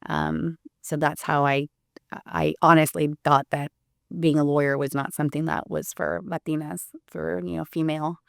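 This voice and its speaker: Low, gravelly-voiced woman attorney guest. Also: flat delivery, moderate pace, no echo, studio quality. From Puerto Rico. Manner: earnest, many "um"s and restarts. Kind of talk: casual interview conversation.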